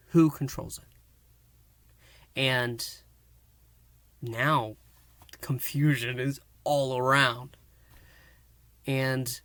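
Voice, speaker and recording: A man's voice: mid-range voice, a bit bright, no echo. A man giving a casual solo review and recap, rambling with repeated fillers and restarts. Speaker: podcast reviewer.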